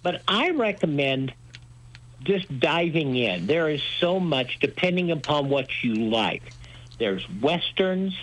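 A man's voice: a little high, animated, slow, fairly bright tone, poor audio. A man talking enthusiastically as a radio host being interviewed, with an emphatic, folksy storytelling manner. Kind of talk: enthusiastic interview talk about old-time radio.

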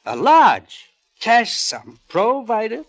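An actor playing a cold, vindictive husband with a sardonic, deliberate, theatrical delivery in a 1950s radio drama. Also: male voice, sits high, huge pitch swings, fairly bright tone, exaggerated voice. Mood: smug, calculating.

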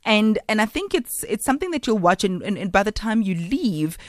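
A radio interviewer with a mid-range voice guides the talk, offering reflective remarks and steady murmurs of agreement. The sound is dry and studio-close. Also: mid-range voice, brisk, no room sound. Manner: affirming, frequent agreement sounds. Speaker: radio host.

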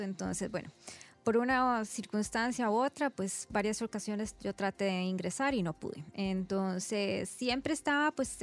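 High-voiced Costa Rican woman in an interview. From San José, Costa Rica. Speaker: interview guest, resident doctor. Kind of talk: conversational radio interview.

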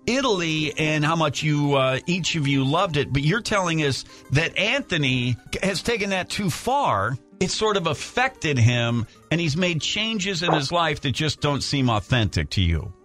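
Lively, teasing male radio host. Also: fairly high pitch, a little slow, faint music behind. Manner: teasing, lively, laughing interjections. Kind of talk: morning radio banter.

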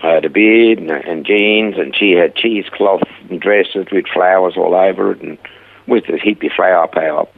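Older Swiss man, rough, low voice, a guest acting out his own stories on radio. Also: normal speed, phone-quality sound. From Switzerland. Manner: animated storyteller acting out quoted dialogue. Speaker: guest telling his life story. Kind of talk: casual storytelling interview.

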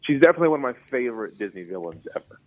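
A man's voice: mid-range voice, very animated, very fast, phone-quality sound. A man in a casual chat, playful in his banter.

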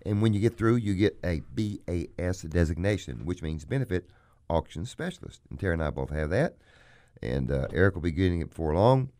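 Man, auctioneer host, very low hoarse voice, dry studio sound, folksy pitch. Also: expressive, fairly quick, dark tone, dry recording. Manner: folksy, plugs his business. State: hoarse, frog in throat. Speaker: auctioneer and radio show host. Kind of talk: casual radio chat.

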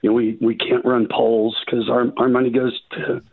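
A man with a raspy, husky voice that has gone gravelly from illness. He speaks over a thin, phone-like line as a call-in guest. He restarts his sentences often and talks in a halting way. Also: mid-range voice, little pitch movement. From Arkansas. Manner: halting, many restarts and fillers. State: raspy voice after covid. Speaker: judicial candidate, phone guest.

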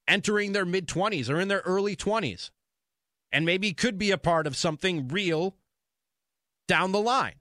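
A man's voice: fairly high pitch, pushed and bright, dry recording, studio quality. An American man hosting sports talk radio, speaking in an emphatic, conversational monologue that repeats phrases for emphasis.

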